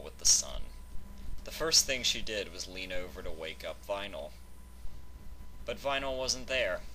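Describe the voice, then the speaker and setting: A man's voice: sits low, sharp tone, slight background noise, poor audio. A man reading a scripted fan fiction story aloud as its narrator.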